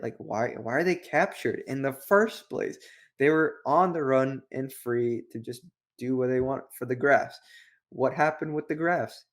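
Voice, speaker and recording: Man, mid-pitched voice, casual review co-host, opinionated, rambling, rhetorical questions. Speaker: co-host of a book review show.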